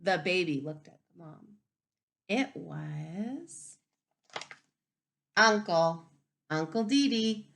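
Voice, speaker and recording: A woman teacher reading a picture book aloud to young children in an expressive, instructive way, pausing to ask questions. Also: lowish voice, vocal fry, a little hiss or hum. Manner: animated, instructive, asking the listeners questions.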